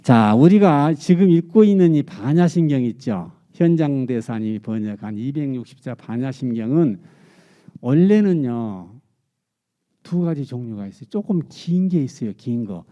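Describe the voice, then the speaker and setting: A man delivering a temple sermon in a very dark, mellow voice, asking his listeners question after question and repeating his key words. The recording is muffled and lo-fi. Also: medium pitch, expressive, moderate pace. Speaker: Buddhist monk giving a dharma talk. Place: temple dharma hall.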